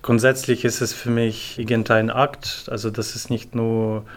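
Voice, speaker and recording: Slow, measured man from Ukraine. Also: mid-range voice, little pitch movement. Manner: slow, measured, many pauses and fillers. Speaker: interview guest, volunteer organizer. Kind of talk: thoughtful interview answers.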